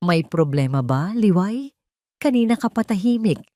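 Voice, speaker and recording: A woman's voice: mid-range voice, expressive, normal speed, dark tone, no echo, studio quality, close to an everyday voice. Woman voicing a serious, protective rich man. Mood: concerned. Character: wealthy, serious, protective man, smoothly controlling.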